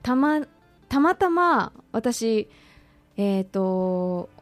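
A woman's voice: fairly high pitch, very animated, very slow, no room sound, soft background music. Woman chatting in a close, dry recording. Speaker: radio show guest. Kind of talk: conversational personal recollection.

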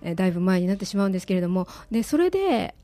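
A woman's voice: medium pitch, very animated, rapid-fire, mellow, dry recording. A woman from Kansai speaking as an interview guest from a theatre group. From Kansai, Japan. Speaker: guest, disaster-education theatre performer. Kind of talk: scripted story narration and interview talk.

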